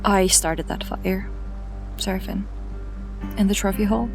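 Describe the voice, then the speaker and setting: A woman performs Lyra, a stubborn young female cat weighed down by guilt. Her mid-range voice comes out hesitant and apologetic, quick to turn defensive. Soft music runs under her lines. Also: mid-range voice, steady tone, lightly acted. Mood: uneasy. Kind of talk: audio drama acting.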